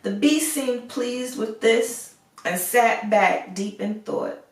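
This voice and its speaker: A woman reading a fairy tale aloud as an expressive storyteller. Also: on the high side, slow. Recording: roomy echo, distant microphone.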